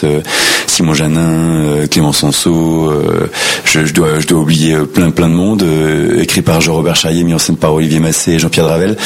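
A man from France, an actor, chatting in an interview with frequent hesitations and restarts. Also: low voice. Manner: hesitant, many fillers and restarts. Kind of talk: casual interview chat.

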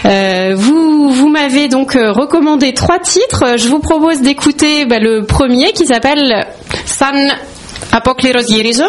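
A woman's voice: high voice, measured pace. A woman hosting a radio show, interviewing guests conversationally with attentive questions and acknowledgements.

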